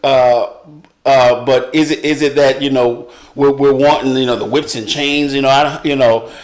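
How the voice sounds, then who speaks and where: A man hosting a casual live discussion, speaking haltingly as he searches for his words. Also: mid-range voice, steady tone, poor audio.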